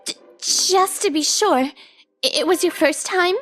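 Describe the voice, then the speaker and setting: A woman's voice: very high-pitched, unhurried, fairly bright tone. A woman voicing a strict young female teacher in a manga story, formal and proper but flustered underneath. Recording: music bed under the voice. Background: music.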